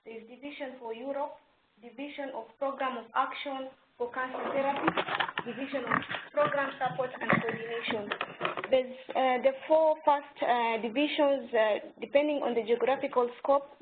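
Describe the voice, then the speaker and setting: A young woman from Kenya with a fairly high voice walks through her department in a methodical, list-like way. The sound is thin and tinny like a phone line, with a faint hiss underneath. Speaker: intern.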